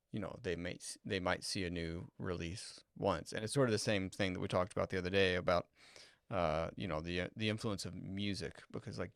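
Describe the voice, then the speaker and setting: A man's voice: deep voice, creaky, a bit bright, no room sound, studio quality. A man co-hosting a podcast in casual chat, agreeable and explaining his points at length. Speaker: podcast co-host.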